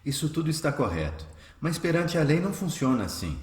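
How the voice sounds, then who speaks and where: A man playing a calm, devout, persecuted healer and dutiful son in a radio drama, earnest and measured. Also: a little high. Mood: grave, explaining. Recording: roomy echo, faint music behind.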